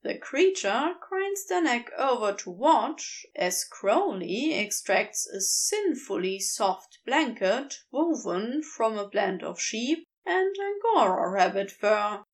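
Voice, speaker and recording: Woman, audiobook-style narrator, very slow and deliberate, lively rising and falling pitch, fairly high voice, expressive storytelling. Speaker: podfic reader.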